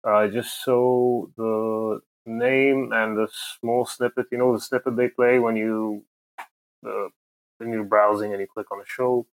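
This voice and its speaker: A man's voice: fairly low pitch, flat delivery, a little slow, rough recording. A man chatting casually as a podcast guest, giving his review in a conversational way.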